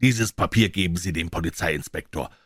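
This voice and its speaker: A man voicing a cool, commanding detective who speaks calmly, briskly and with authority. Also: sits low, breathy edge, dry recording, studio quality.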